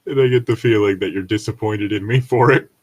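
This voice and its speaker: A low-pitched man reads a story aloud as a co-host. He slips into casual, digressive asides with an irreverent, foul-mouthed manner. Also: fairly quick, breathy edge. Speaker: co-host reading and commenting on stories. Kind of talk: read-along with casual joking asides.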